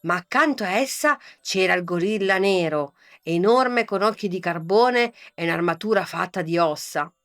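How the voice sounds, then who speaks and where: A woman reading a children's bedtime fairy tale in a soft, calm, lulling voice. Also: lowish voice, moderate pace, hardly any pauses, soft background music. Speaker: storyteller. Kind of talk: scripted bedtime story narration.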